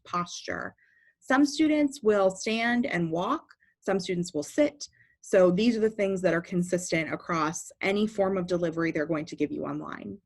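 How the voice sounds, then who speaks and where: A woman with a fairly high-pitched voice giving a methodical lesson on judging speeches, asking and answering her own questions. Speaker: debate judge trainer. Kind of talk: instructional explanation.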